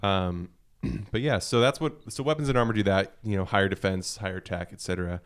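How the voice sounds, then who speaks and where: A man in casual conversation about video games as a podcast panelist, explaining things in a halting, thinking-aloud way. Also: deep voice, clear, resonant tone.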